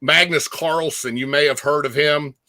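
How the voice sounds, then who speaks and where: A man chatting casually about music as a guest co-host, enthusiastic and slangy, often halting as he gathers his thoughts. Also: fairly high pitch, fairly even intonation, bright, forceful tone, no room sound. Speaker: guest co-host, metal fan.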